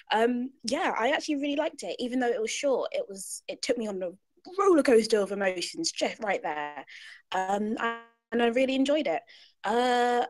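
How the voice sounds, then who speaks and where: Higher-pitched woman chatting casually. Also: no room sound. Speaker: panelist. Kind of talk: casual chat feedback.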